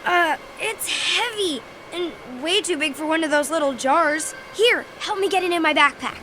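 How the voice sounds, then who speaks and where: A woman voicing a curious, excitable young schoolgirl, eager to discover things, in a children's audio drama. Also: very high-pitched, moderate pace. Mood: excited curiosity. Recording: noisy outdoor ambience behind.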